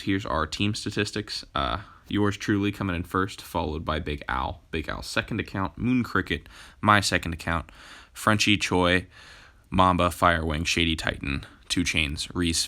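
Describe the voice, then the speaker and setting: Young male gaming host, low voice with a bright edge, slightly hoarse from a cold, laid-back play-by-play full of ums. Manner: relaxed, frequent "uh" and "um" fillers. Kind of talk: casual gameplay commentary.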